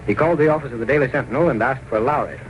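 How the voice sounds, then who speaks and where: A man's voice: mid-range voice, very fast, close to an everyday voice, poor audio. A man performing as an earnest narrator of an old-time radio serial, reading scripted suspense story links. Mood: suspenseful. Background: loud music.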